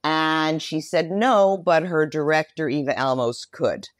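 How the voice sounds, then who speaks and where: A woman's voice: low-pitched, a little slow, no room sound, clean studio sound. A mature woman giving a casual interview as a guest, effusive and reflective about her life and work. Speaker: guest, writer, director and voice performer.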